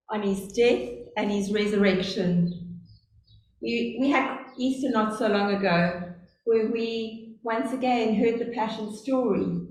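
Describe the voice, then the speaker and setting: Brief: middle-aged South African woman, lay preacher, slow and earnest storyteller, higher voice, big echoing church. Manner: earnest, storytelling, speaks slowly on purpose. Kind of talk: sermon. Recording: very echoey church, faint hum.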